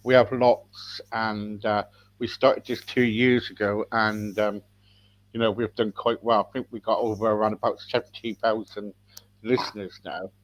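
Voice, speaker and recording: A man's voice: fairly low pitch, level tone, normal speed. Rambling male host on a dull line. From England. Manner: rambling, full of fillers and restarts. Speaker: podcast host. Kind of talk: casual radio-style interview hosting. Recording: slightly dull, lo-fi sound.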